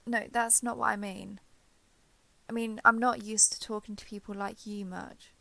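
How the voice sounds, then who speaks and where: A woman acts out a shy villainess who has reluctantly changed sides. Her voice is bright, with noticeable fry at the edges. She talks in a guarded, hesitant way, as in an intimate audio roleplay, recorded dry with no room around her. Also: medium pitch, flat delivery, no echo. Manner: hesitant, halting, reserved, understated. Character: shy, guarded young female villain turned reluctant ally. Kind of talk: roleplay audio drama, intimate one-sided dialogue.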